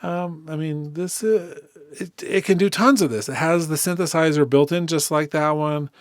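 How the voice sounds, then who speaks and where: A man giving a casual, conversational software walkthrough as a tutorial host. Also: fairly high pitch, moderate pace, dry recording, clean studio sound. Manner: informal, conversational.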